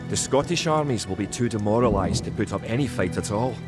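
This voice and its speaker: An actor playing a solemn, resolute medieval Scottish soldier, narrating a video game war campaign in a grave, measured storytelling manner. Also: male voice, on the low side, lively intonation, natural voice. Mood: grave, determined. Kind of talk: dramatic scripted historical narration. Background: music.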